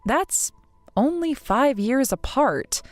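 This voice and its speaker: A woman in her thirties voicing a patient, polite bride-to-be who speaks in a soft, measured, restrained way. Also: high-pitched, animated, dry recording, soft background music. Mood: hurt. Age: 30s.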